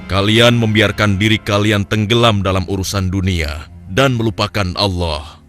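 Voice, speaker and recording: A man voicing a grave, patient prophet in a religious cartoon, speaking in a solemn, measured, admonishing way. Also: lowish voice, fairly even intonation, fairly bright tone, soft background music, lightly acted. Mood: stern reproach. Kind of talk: Indonesian cartoon religious story dialogue.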